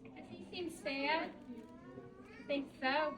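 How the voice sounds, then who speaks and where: A woman's voice: high voice, fairly even intonation, slow, a bit bright. A woman talking to children in simple, encouraging story-time prompts. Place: indoors, library event. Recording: distant, roomy, with children audible behind.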